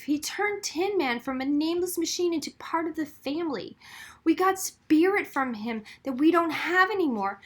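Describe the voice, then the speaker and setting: A woman's voice: extremely high pitch, fairly bright tone, voice pushed up, heavily acted. A woman reading the part of an upset, outspoken gifted schoolgirl in an audiobook, emphatic and insistent. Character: upset, outspoken gifted middle-school girl, arguing passionately. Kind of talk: acted audiobook dialogue.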